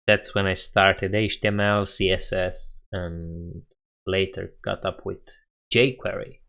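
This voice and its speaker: A man's voice: low voice, little pitch movement, slow pace, vocal fry, fairly bright tone. A young man with a Romanian accent, casually chatting with viewers on a coding live stream, laughing often and thinking aloud.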